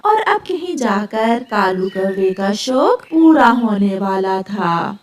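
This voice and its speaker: Female narrator, scripted storytelling, very lively intonation, distant echoey recording with faint noise. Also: medium pitch, slow pace. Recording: echoey, noisy, distant microphone.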